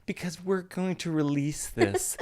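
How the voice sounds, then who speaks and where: A man's voice: on the high side, steady tone, unhurried, a little mellow. Dry, teasing male podcast host. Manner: dry, teasing, mock-serious. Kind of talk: casual comedic interview.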